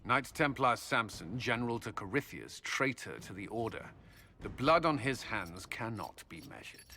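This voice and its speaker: An actor playing a stern, disciplined military commander in a video game, speaking firmly and formally. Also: male voice, mid-range voice, big pitch swings, pushed and bright, slight background noise. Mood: grave. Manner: firm, measured and formal.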